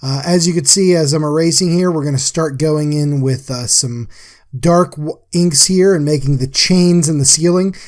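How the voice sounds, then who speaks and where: A man's voice: a little high, normal speed. A man giving a casual, chatty voice-over as an artist recapping a drawing, talking informally to viewers. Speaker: artist and livestream host.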